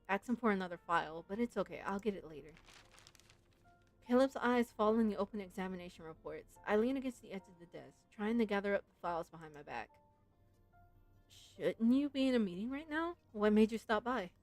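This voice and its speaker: A woman streamer giving casual, musing gameplay commentary and reading story text aloud. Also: mid-range voice. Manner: informal, musing, frequent "like". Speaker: game streamer. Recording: game music behind the voice.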